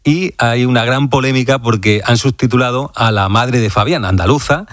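A man's voice: medium pitch, no room sound, studio quality. A man hosting a live sports radio show, leading the panel with questions. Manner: brisk, leads the panel with questions.

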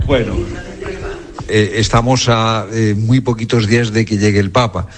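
A man conducting an on-location interview, halting as he searches for words. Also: lowish voice, fairly even intonation. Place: small convent parlour, indoors.

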